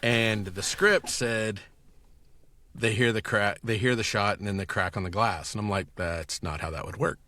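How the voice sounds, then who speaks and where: A man with a fairly low voice chats casually and enthusiastically, wandering through his stories. The sound is close and dry, with little room around him. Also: fairly even intonation, moderate pace, sharp tone, dry recording. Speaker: podcast guest, audio producer and director. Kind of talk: casual chat.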